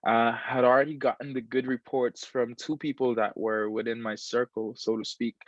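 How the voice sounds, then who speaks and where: A man's voice: medium pitch, little pitch movement, normal speed, dry recording. A man in his twenties from Guyana, talking casually as an interview guest, repeating himself with many fillers. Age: 20s. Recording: dull lo-fi sound.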